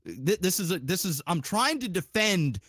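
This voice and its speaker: A man with a distinctly high voice holds forth on a podcast in a dry-sounding recording. His delivery is casual and animated, wandering through arguments with plenty of swearing and strong opinion. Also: normal speed, no room sound. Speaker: podcast host.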